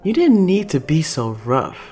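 A man's voice: medium pitch, measured pace, soft background music, lightly acted. A man voicing a pouting, defiant young female fighter in a petulant, indignant way for a story.

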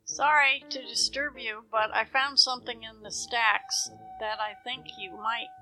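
A woman's voice: a little high, sing-song, unhurried, loud music behind the voice, poor audio. A woman playing a helpful, sociable university library assistant in a scripted audio drama. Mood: eager, friendly.

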